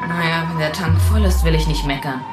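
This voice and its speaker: Cheeky young driver under loud music, played by a woman. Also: low voice, brisk, close to an everyday voice. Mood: grumbling, cheeky. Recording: loud music bed, car noise.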